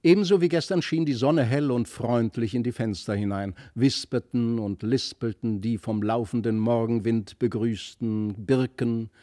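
A man narrating a literary fairy tale in an animated, carefully phrased way. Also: mid-range voice, no echo, clean studio sound. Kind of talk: expressive literary storybook narration. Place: studio.

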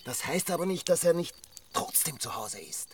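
An actor playing a cagey, gossipy village chronicler in a radio drama, with an Austrian accent and a cautious, confiding manner. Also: male voice, fairly high pitch, lively intonation, normal speed, distant mic, noisy background, lightly acted. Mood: hushed, cautious.

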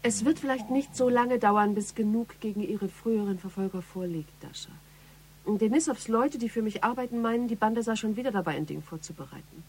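A woman's voice: medium pitch, fairly quick, a little mellow, slightly rough, poor audio. An actress playing a shrewd, self-possessed female police investigator in a German radio crime drama. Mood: serious, explaining. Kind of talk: German radio play dialogue.

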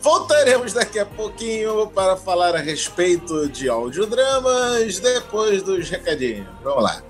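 Higher-pitched Brazilian man hosting over music. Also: very animated, a little slow. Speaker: podcast host. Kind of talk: casual scripted podcast intro and announcements. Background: music bed behind the voice.

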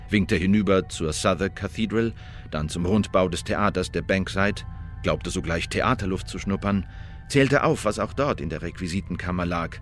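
Low-voiced, formal male eyewitness narrator, played by an actor. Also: lightly acted. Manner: formal, measured, precise testimony. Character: male eyewitness recounting a tavern killing, insistent, self-exonerating. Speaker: narrator of a radio play. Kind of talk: scripted first-person radio drama narration.

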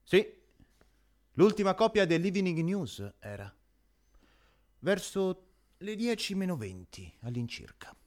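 A man acting in an absurdist radio play as a vague, plodding newspaper seller, halting, repetitive and deadpan. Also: high voice, heavily acted. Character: plodding, vague male newspaper seller making small talk. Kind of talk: absurdist radio drama dialogue.

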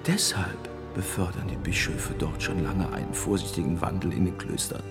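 An indignant bishop in a reverberant hall. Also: male voice, low voice, normal speed. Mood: earnest, persuasive. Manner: emphatic, indignant, oratorical. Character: strict, agitated reformist bishop, a man of power. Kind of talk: German radio drama acting. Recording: long hall reverb, music behind.

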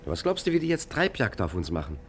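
A man playing a cocky, offhand gentleman gangster who justifies himself with mock innocence in a satirical 1950s radio crime play. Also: lowish voice, huge pitch swings, fast. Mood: cocky, hurried. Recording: loud engine hum behind. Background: car engine hum.

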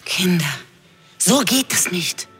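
A woman playing a gently admonishing schoolteacher in a children's audio drama, breathy, earnest and appealing. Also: fairly low pitch, expressive, takes it slowly, in a large hall, faint music behind, heavily acted. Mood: shocked, admonishing.

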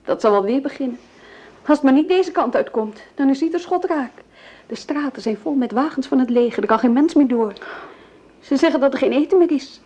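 An actress playing a harried, gossipy young maidservant in a radio play, chattering away. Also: female voice, high-pitched, lively intonation, brisk, mellow, dull audio. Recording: faintly noisy old radio play recording.

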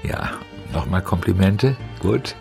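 An actor playing a bashful older man who speaks haltingly and earnestly in a naturalistic radio drama. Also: low voice, expressive, vocal fry, darkish tone, faint music behind, heavily acted. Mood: embarrassed, earnest. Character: bashful older man clumsily planning how to court.

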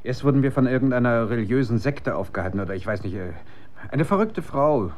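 A man's voice: mid-range voice, expressive, fast, darkish tone, highs cut off, lightly acted. A man acting in a German radio drama as a troubled, principled research scientist, speaking in an earnest, searching way. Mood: unsettled, explaining.

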